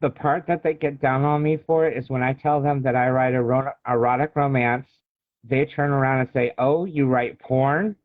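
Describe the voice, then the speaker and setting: A man's voice: medium pitch, fairly even intonation, normal speed, dry recording. An American man being interviewed as an author, talking casually and explaining at length like a storyteller. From United States. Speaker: guest author. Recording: thin phone-like call audio.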